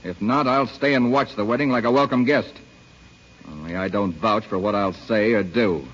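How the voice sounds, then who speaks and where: A man's voice: on the low side, moderate pace, fairly bright tone. A man acting a brooding, jealous rejected suitor in an old-time radio drama, theatrical and intense. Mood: bitter, insistent. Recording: muffled old radio recording, music behind.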